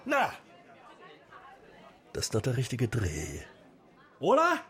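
An actor playing a jovial, foolish, over-eager Party busybody in a radio drama, with a blustering, chummy way of talking. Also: male voice, mid-range voice, huge pitch swings, slow pace, a bit bright, breathy edge, some chatter behind, exaggerated voice. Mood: proud, gleeful.

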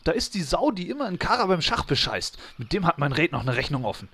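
High-voiced pushy gamer. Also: male voice, very animated, fast, pushed and bright, slightly rough, exaggerated voice. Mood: eager. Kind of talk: gamer parody audio drama acting.

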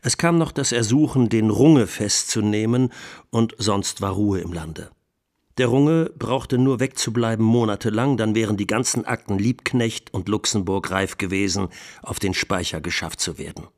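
A man playing a defence lawyer in a 1920s trial, delivering a rhetorical, deliberate and emphatic courtroom plea for a radio documentary. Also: fairly low pitch, darkish tone, natural voice. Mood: dry, sardonic. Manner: rhetorical, deliberate, emphatic, with dropped phrase endings. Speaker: defence counsel.

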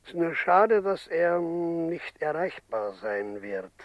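An actor playing a genteel, earnest doctor in a radio play, polite and deliberating. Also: male voice, a little high, big pitch swings, takes it slowly, no room sound, lo-fi sound. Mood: polite, thoughtful. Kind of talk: German radio play acting.

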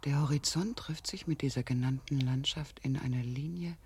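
A man playing a grave, brooding survivor in a 1970s radio drama, with measured, formal phrasing. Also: medium pitch, a little mellow, natural voice. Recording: faintly noisy 1970s radio recording.

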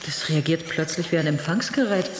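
A woman's voice: low voice, a little fast, background noise, close to an everyday voice. An actress playing a practical, observant, slightly unsettled woman in a radio drama, matter-of-fact but hesitant. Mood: bewildered. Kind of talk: German radio drama acting. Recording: echoey vault with music.

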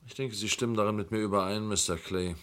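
A man's voice: lowish voice, fairly even intonation, fast talker, fairly bright tone, lightly acted. An actor playing an indignant, defiant man under accusation in a German crime radio play, stiff and scornful. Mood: defensive, scornful.

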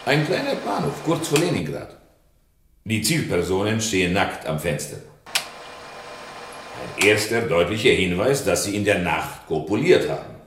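An officious secret-police reporter in a radio drama. His lowish voice moves animatedly through bureaucratic phrasing, in an echoey room with clattering noise. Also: sing-song, normal speed. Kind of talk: German radio drama acting.

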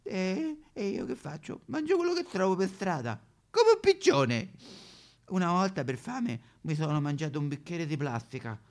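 Man playing a grumbling Roman mongrel dog, very animated. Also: sits high, moderate pace. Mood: anxious, complaining. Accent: Roman Italian. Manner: grumbling, colloquial, exaggerated complaining. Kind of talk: satirical theatrical monologue.

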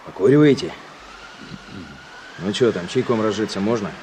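An actor playing a cocky, defiant truck driver from town in a radio play, talking colloquially and stubbornly. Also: male voice, on the low side, normal speed, darkish tone, natural voice. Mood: casual. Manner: colloquial, stubborn, self-justifying. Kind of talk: Soviet radio play acting. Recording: noisy vintage radio drama recording.

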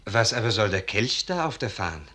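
A man playing a curious, brisk soldier in a 1950s German radio drama. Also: fairly low pitch, big pitch swings, pushed and bright. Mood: curious, admiring. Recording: 1950s radio recording, music behind.

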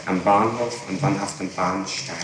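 A man with a fairly low, flat voice puts short, insistent questions in a formal, matter-of-fact interrogation. The old tape is noisy and heavy with room echo. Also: unhurried. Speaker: police interrogator.

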